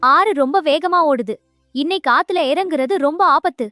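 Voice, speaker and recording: Lady doing a sensible lil fawn for a kids cartoon, super high voice, caring n earnest, music behind. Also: moderate pace, pushed and bright. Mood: worried, cautioning. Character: sensible, caring young fawn, a protective friend. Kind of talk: children's animated moral story dialogue.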